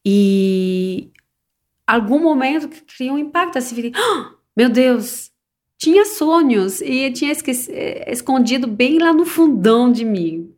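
A woman's voice: high-pitched, big pitch swings, a little slow, a little mellow. A woman from the south of France with a French accent, speaking Portuguese as a guest in a casual interview, thoughtful and searching for words. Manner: reflective, thoughtful, with restarts and self-corrections. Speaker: podcast guest, personal development coach. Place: São Paulo.

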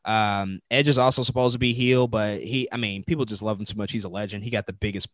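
A man co-hosting a casual wrestling chat, laid-back and thoughtful, often pausing on "um". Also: lowish voice, no room sound. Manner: relaxed, thoughtful, with fillers. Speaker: wrestling podcast co-host.

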